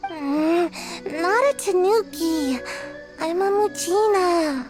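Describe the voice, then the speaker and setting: Sleepy little ninja girl, very high childish voice, extremely slow, listless, game character. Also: music in the background. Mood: drowsy, weary. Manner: drowsy, drawn-out, listless. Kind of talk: video game voice acting.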